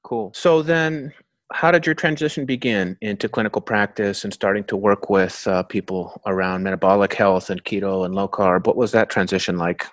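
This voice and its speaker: A man from Canada hosting an interview, asking steady questions and giving brief affirmations. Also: on the low side, normal speed, no room sound. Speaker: podcast host. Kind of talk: interviewing, casual.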